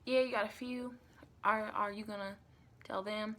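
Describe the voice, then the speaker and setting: A woman playing a chatty, scatterbrained female vampire recruiter in a softly spoken ASMR roleplay, often slipping into breathy whispers. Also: mid-range voice, fairly even intonation, moderate pace, close to an everyday voice. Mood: friendly, curious. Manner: rambling, flighty, sprinkles in pet names like sugar and sweetie. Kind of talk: ASMR roleplay, softly spoken interview with pauses for unheard replies.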